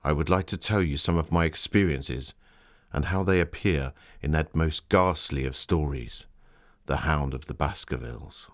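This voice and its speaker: An actor playing a Victorian gentleman author, narrating his travels in a formal, literary, theatrical manner. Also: extremely low pitch, moderate pace. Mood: eager, ominous. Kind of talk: scripted dramatic narration.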